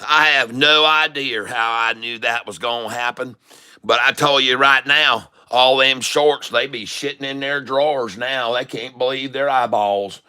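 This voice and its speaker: A man's voice: mid-range voice, steady tone, measured pace, sharp tone, clear, resonant tone. A man with an exaggerated folksy Southern US country drawl, talking cocky and boastful as he hosts a casual trading livestream, swearing freely. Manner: chuckles often, swears casually. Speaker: livestream stock trading host. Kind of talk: casual livestream chat, hype trading commentary.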